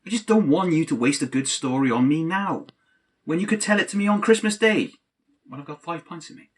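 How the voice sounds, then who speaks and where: Man playing husky, lively, exasperated best friend. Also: a little high, fairly quick. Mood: placating. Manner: wry, long-suffering. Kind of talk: comedic audio play acting.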